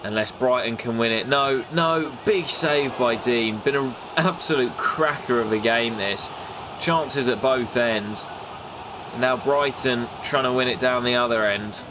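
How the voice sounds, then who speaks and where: A young man with a nasal voice from a cold, commentating his football game in a casual play-by-play that jumps up excitedly at big moments. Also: unhurried, background noise. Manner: excitable, bursts up in pitch at goals and misses. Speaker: gaming YouTuber commentating his career mode.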